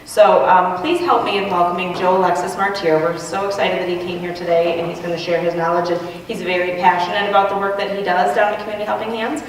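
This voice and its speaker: A woman's voice: lowish voice, fairly even intonation, fast talker, hardly any pauses. A woman facilitating a training session, introducing a guest speaker in a friendly way with many fillers. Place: large echoey meeting room. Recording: echoey hall, distant mic, faint noise.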